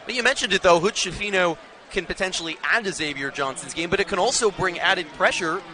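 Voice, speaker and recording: A fairly high-voiced American man announces live basketball play-by-play. His delivery is emphatic and projected, set against a loud arena crowd. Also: fairly quick, background noise. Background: crowd and basketball bounce.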